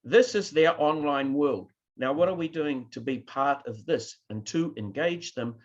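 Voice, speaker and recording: A man's voice: a little high, a little slow, lo-fi sound. A man with a New Zealand accent giving a keynote lecture to an online conference audience, now and then asking them to react. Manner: addresses a live online audience, asks for reactions. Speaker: keynote speaker.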